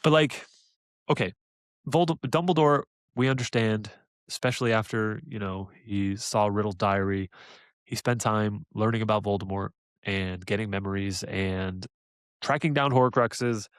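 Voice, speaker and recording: A fairly low-pitched man chatting on a fan podcast, full of eager ideas and quick laughs. His voice sounds dry and close, with no room around it. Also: smooth, dry recording. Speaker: podcast co-host. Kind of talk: casual fan chat.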